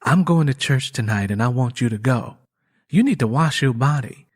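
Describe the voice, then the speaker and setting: A man voicing a devout, worried young pregnant country woman who gently scolds in folksy speech, for an audiobook. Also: mid-range voice, animated, moderate pace, lightly acted. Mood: firm. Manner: folksy rural vernacular. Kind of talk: audiobook character dialogue.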